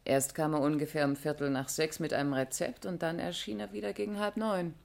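A woman's voice: sits low, steady tone, fairly quick, creaky, darkish tone. An actress playing a weary, matter-of-fact female pharmacy assistant in a 1960s German radio crime play. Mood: tired, factual.

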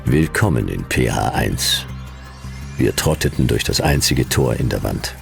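Super deep gravelly detective guy, dry and world-weary, noir radio play, music underneath. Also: animated, a little slow, exaggerated voice. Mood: weary narrating. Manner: laconic, dry. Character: hard-boiled male private detective narrating his case, dry, world-weary.

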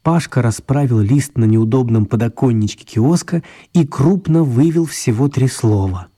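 A man's voice: medium pitch, normal speed, dark tone. A man narrating a children's radio story in a scripted storytelling style.

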